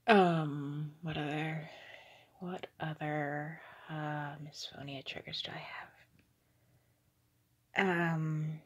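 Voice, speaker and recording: A young adult woman giving a whispered, halting ASMR monologue in a breathy voice, recorded indoors on a phone held at arm's length. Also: sits low, little pitch movement, muffled recording, slight background noise. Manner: slow, hesitant, halting. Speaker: ASMR video creator. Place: small indoor room with curtains.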